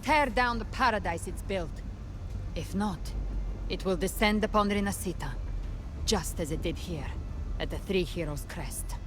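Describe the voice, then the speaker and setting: A woman voicing a composed, resolute young monster hunter in an anime game, explaining things gravely and deliberately. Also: medium pitch, music in the background, close to an everyday voice. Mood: grave, urgent. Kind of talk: anime game dramatic dialogue.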